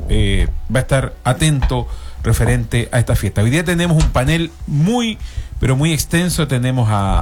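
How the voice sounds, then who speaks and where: A man's voice: mid-range voice, darkish tone. A man with a Chilean accent hosting a community health radio panel, speaking formally with frequent fillers. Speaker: radio host.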